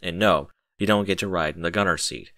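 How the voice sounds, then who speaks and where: A proud, sly military captain acted by a man in a storybook reading. He is cool and matter-of-fact, with a fairly low, bright voice and a dry, close sound. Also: brisk, no echo. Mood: flat.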